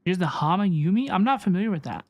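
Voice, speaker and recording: A woman livestreaming a game, chatting casually with viewers in an animated, repetitive way as she reacts and reads chat. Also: lowish voice, fast. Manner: animated, repeats questions, reads chat aloud. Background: game music.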